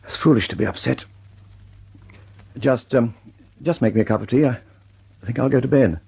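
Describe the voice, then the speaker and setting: An actor with an English accent playing a weary, mild, dutiful scientist in a radio drama, hesitant and politely understated. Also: male voice, mid-range voice, normal speed, creaky, warm tone, husky. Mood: weary, resigned. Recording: noisy old radio recording with clicks.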